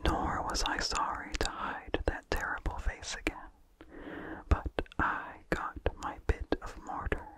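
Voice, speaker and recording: Slow, fully whispered ASMR storyteller. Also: rough recording. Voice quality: whispered, unvoiced. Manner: slow, deliberate, pausing between short phrases. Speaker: narrator. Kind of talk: whispered ASMR reading of vintage horror story.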